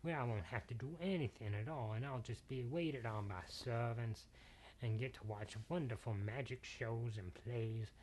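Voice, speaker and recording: A man voicing a dreamy young peasant girl who gushes and sighs, his voice lifting high on her exclamations. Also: moderate pace, a little mellow, a little hiss or hum, natural voice, low-quality recording.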